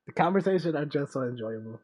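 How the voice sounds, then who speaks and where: A woman's voice: low voice, lively intonation, quick pace, a little mellow, breathy edge. A woman chatting casually as she streams a game, musing aloud hesitantly. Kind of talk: casual gameplay chat. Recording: dull microphone, faint game music behind.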